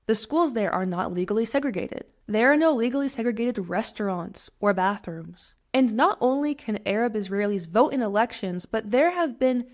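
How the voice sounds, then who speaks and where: A young woman from the United States delivers a scripted personal essay to the listener. Her voice sits in a middle register, and she speaks earnestly, stressing key points in a steady, measured way. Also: mid-range voice. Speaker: commentator.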